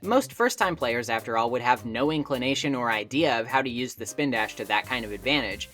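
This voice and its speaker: A woman narrating a scripted video game review essay in an opinionated way, with conversational asides. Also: rumbling low. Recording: voice-over with music behind. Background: background music.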